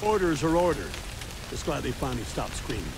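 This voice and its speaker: An actor playing a weary, irritable foot soldier in a military video game. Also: male voice, medium pitch, big pitch swings, brisk, creaky, background noise. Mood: irritable unease. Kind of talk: dramatic video game acting.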